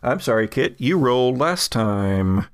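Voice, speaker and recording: A man narrating an improvised tabletop horror game as game master, telling it in a halting, suspenseful way. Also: medium pitch, expressive, clean tone, dry recording, studio quality.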